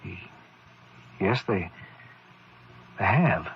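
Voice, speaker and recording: An actor playing an uneasy, earnest gentleman in an old radio horror drama, tense and hesitant. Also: low voice, animated, very slow, full character voice. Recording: muffled, hissy old radio recording.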